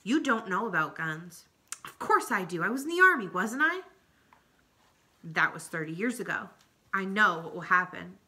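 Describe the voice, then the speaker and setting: A woman's voice: on the low side, sing-song, moderate pace, bright, forceful tone, full character voice. A woman reading aloud, voicing an anxious, fearful old grandmother. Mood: worried. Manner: anxious and pleading. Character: frightened, protective old grandmother.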